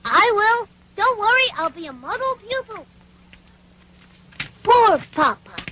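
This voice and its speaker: A woman's voice: extremely high pitch, sing-song, slow, bright, forceful tone, exaggerated voice, poor audio. A woman voicing a naive, eager wooden puppet boy in a dubbed cartoon, childlike and earnest. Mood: tender, earnest.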